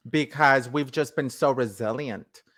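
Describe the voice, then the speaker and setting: A man's voice: mid-range voice, unhurried. A man co-hosting an interview, affirming and thanking the guest. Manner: warmly supportive, affirming. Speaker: co-host. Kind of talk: casual interview talk.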